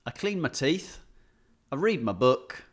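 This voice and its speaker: A man's voice: mid-range voice, big pitch swings, measured pace. Casual male podcaster with a mid-range voice. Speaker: comedian co-hosting a podcast. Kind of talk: casual anecdotal chat.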